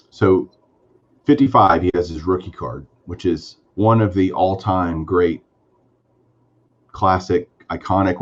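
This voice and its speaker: A man's voice: sits low, lo-fi sound. A man co-hosting a sports card podcast, talking casually and enthusiastically with lots of fillers. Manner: enthusiastic, rambling with frequent fillers. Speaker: podcast co-host.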